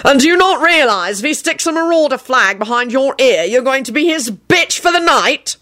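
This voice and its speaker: A furious boss of a man, acted out by a woman storyteller, exasperated and sarcastic. He rants and swears, and his pitch swings widely as he scolds. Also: wildly swooping pitch, normal speed, a bit bright. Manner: ranting, sarcastic, profane. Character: furious, exasperated male boss with a bellowing temper. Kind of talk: dramatic storytelling of fan fiction.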